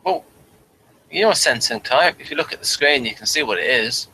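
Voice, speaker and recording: A man hosting a casual review chat, talking in a halting way and repeating words and phrases. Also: medium pitch, expressive, sharp tone, low-quality recording. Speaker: host of a TV review show. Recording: faint background noise, room ambience.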